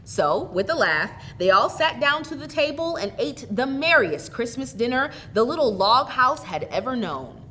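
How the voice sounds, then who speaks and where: A woman storyteller narrating a tale in an expressive reading style. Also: a little high, normal speed, few pauses, a little hiss or hum.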